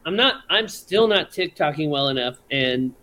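A man with a high, bright voice talks in a laid-back, playful way. He is a guest musician on a casual podcast, chatting loosely. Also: lively intonation, moderate pace, a little hiss or hum, low-quality recording. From Florida.

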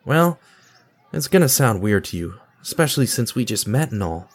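Man acting an awkward, animated office worker. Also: medium pitch, moderate pace, husky. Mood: earnest, uneasy. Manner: hesitant, earnest. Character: awkward, apologetic, burnt-out male office worker confiding in a stranger. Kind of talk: audio drama acting.

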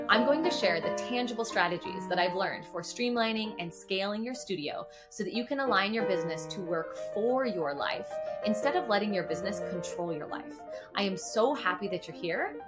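A woman hosting her own podcast, chatty and upbeat with many fillers and restarts, a little nasal from a cold. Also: medium pitch. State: getting over a cold, a bit nasal. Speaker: podcast host and business coach for piano teachers. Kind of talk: casual solo podcast talk.

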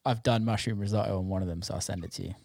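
A man's voice: lowish voice, steady tone, racing, creaky, darkish tone, no echo. A British man chatting casually and informally, enthusiastic and given to swearing. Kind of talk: casual chat about food.

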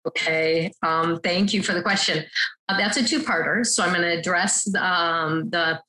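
A school district's mental health director answers a panel question in a measured way, with a few ums along the way. Her voice is low-pitched but bright and forward, in an echoey room. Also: steady tone. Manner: measured, hesitant with fillers.

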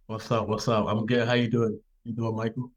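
Relaxed young male guest from Ireland, somewhat low voice, easygoing unhurried answers. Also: highs cut off. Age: young adult. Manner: relaxed, laid-back, many ums and "you know". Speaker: guest football player. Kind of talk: casual interview answers.

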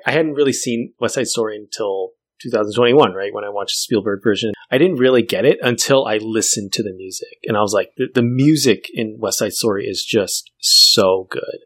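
A man's voice: lowish voice, a little fast, hardly any pauses, a bit bright, slightly rough. A man co-hosting a film podcast, speaking casually with plenty of hedges and "like". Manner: hedging, often says "like" and "I mean". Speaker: podcast co-host.

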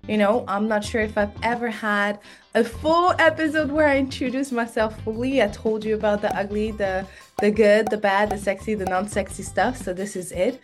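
Woman, from Senegal, French first language, podcast host, chatty, laughs a lot, mid pitch. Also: normal speed, soft background music. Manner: chatty and warm, laughs often. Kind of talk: solo podcast monologue.

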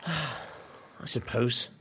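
An actor playing a fussy, wry British office worker in a naturalistic audio drama, dry and complaining. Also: male voice, medium pitch, very slow, background noise. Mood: wry grumbling.

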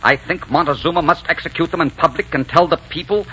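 An actor playing a commanding, lovestruck Spanish conquistador in a 1940s radio drama. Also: male voice, fairly high pitch, fast, sharp tone, a little hiss or hum. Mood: stern, commanding. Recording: dull 1940s radio recording.

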